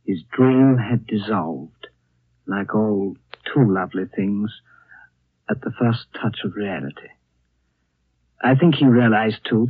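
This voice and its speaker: An actor playing a reflective storyteller recounting a strange tale in a deliberate, suspenseful 1930s radio style. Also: male voice, on the low side, husky, no echo, phone-quality sound. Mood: wistful, solemn. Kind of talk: 1930s radio acting, dramatic narration. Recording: thin, dry 1930s radio broadcast.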